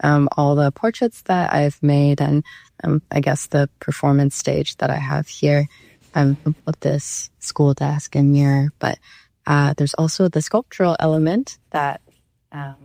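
A low-voiced Canadian woman with a gravelly creak in their speech, recorded close and dry. They talk reflectively as an artist in conversation, feeling out each thought with some hesitation. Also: fairly even intonation, a little slow, warm tone, no echo. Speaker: visual artist being interviewed. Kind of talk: reflective conversation about their own art.

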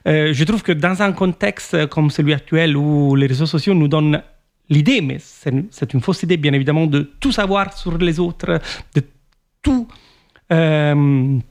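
A man from Italy, Italian his first language, answering interview questions in a thoughtful way with many pauses and restarts. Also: on the high side, a little mellow. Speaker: author.

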